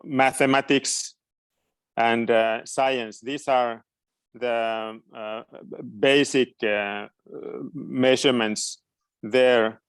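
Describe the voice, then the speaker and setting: A man's voice: mid-range voice, fairly even intonation, very slow, clean tone, dry recording. A middle-aged man from Finland, a native Finnish speaker, answering questions informally and hesitantly as he searches for words. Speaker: guest education expert.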